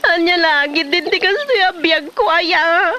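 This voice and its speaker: A woman's voice: very high voice, a little slow, noisy background. A woman playing a plaintive pregnant wife who is fed up with her lazy husband in a comedy drama. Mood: distressed.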